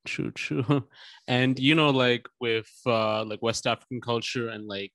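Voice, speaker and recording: A man's voice: mid-range voice, steady tone, measured pace, a bit bright, no room sound. A male podcast host in a medium register, recorded dry with almost no room sound. His questions wander and are padded with casual fillers. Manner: meandering questions full of fillers.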